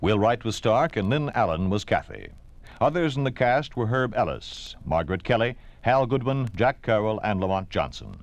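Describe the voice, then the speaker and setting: A man's voice: on the low side, very animated, normal speed, no room sound, highs cut off. A man with an American accent announcing on 1950s radio, reading a script in a grave, formal, emphatic way. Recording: vintage 1950s radio broadcast. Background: dramatic orchestral music.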